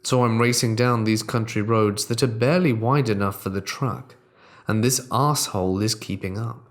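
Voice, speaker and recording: A man reading true horror stories aloud as the host, in a measured, deliberate storytelling manner that drops quieter at tense moments. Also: fairly low pitch. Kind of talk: scripted narration of reader-submitted true horror stories.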